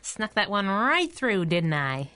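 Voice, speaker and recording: A plucky young female superhero sidekick in a 1930s-style radio serial. Her voice is very lively in pitch, sassy and slangy, always ready with a wisecrack. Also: slow pace, exaggerated voice. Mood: teasing.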